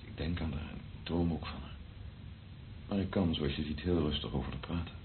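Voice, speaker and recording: A troubled tender priest in a radio play, real deep voice, muffled noisy old recording. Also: male voice, fairly even intonation, a little fast. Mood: quiet, probing. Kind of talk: Dutch radio drama acting.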